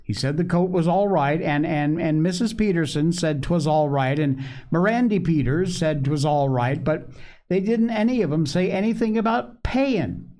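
A man plays a young boy from a children's storybook, high and very animated. He is hungry, easily indignant and pleased with himself. He rambles on eagerly, then slips into whining. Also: moderate pace, close to an everyday voice. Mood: eager, rambling. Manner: boastful, whiny, rambling.

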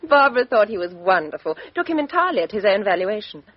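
An actress playing a composed, outspoken young Englishwoman with a crisp, frank upper-class delivery in a 1950s radio drama. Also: medium pitch, very animated, fast talker. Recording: dull 1950s radio recording.

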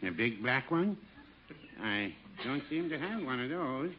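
A man playing a mild, folksy older American shopkeeper, deadpan and hesitant, in a 1950s radio comedy. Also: mid-range voice, measured pace, lightly acted. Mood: mild, helpful. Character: mild, folksy older druggist, dryly literal and henpecked. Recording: muffled, clicky, very noisy radio recording.

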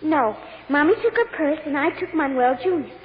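High-pitched innocent little girl, played by a woman. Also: normal speed, close to an everyday voice. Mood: earnest. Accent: American. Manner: childlike, artless, hesitant. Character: innocent, literal-minded little girl witness, earnest and trusting. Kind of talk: 1940s-50s American radio drama acting. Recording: muffled, hissy old radio recording, reverberant.

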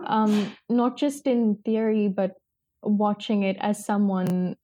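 Hesitant mellow-voiced woman guest. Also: mid-range voice, steady tone, slow pace, no echo. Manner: hesitant, searching for words. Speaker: child advocacy worker, guest. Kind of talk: casual interview.

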